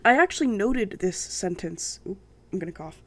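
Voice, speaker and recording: A woman hosting a book channel, reading a novel aloud and commenting on it in a sassy, sarcastic way, her voice croaky from a sinus infection. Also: mid-range voice, expressive, moderate pace, fairly bright tone. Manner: sniffing and coughing.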